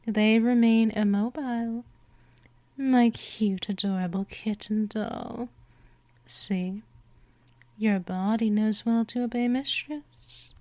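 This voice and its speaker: Girl doing a soft vampire mistress, real slow and coaxing, whispery asmr hypnosis, super close dry mic. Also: medium pitch, no room sound, lightly acted. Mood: possessive, doting. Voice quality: soft, breathy whispering in places. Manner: slow, coaxing, drawn-out delivery. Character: gentle dominant female vampire mistress, possessive and soothing. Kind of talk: scripted hypnosis roleplay, ASMR.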